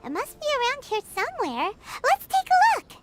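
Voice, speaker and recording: Tiny, chirpy game companion. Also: female voice, very high voice, huge pitch swings, heavily acted. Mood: eager. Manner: chirpy, eager, quick to exclaim. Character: tiny excitable floating girl companion. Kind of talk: video game dub. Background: game music.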